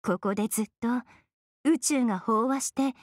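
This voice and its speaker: A woman's voice: on the high side, normal speed, dry recording, clean studio sound, close to an everyday voice. A woman voicing a devilish young female AI in an anime game, coy and polite with a mock-sweet, sinister edge. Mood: sweetly menacing. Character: teasing, devilish young female moon super AI, sweetly menacing. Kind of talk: Japanese anime game voice acting.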